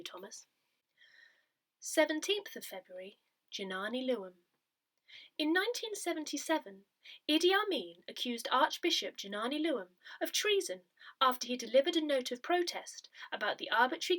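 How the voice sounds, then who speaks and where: A woman reading a parish newsletter aloud in a soft, breathy, measured way. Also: high voice. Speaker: newsletter reader.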